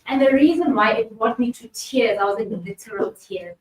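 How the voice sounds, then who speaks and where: A woman's voice: on the high side, animated, normal speed. A woman facilitating a panel discussion, speaking in an earnest, reflective and emphatic way. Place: event hall. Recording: echoey room, distant mic, faint hum.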